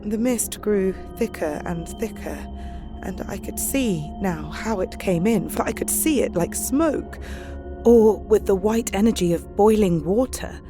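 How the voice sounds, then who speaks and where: Actress for anxious young Victorian woman, British, mid-pitched, intimate diary monologue in an audio drama, music underneath. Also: warm tone, close to an everyday voice.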